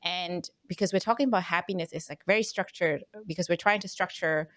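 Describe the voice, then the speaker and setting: A woman's voice: on the low side, brisk, fairly bright tone, dry recording. A young woman whose first language is Vietnamese, hosting a podcast interview and thinking aloud with many fillers and hesitations. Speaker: podcast host. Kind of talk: casual interview chat.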